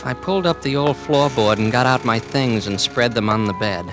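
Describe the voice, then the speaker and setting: A brooding, resentful young man, played by an actor tells his own story in a hushed, sullen voice. It comes from a vintage radio thriller with faint music beneath. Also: medium pitch, moderate pace, lightly acted. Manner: quiet, sullen first-person narration. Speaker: narrator and lead. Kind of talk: 1940s radio acting.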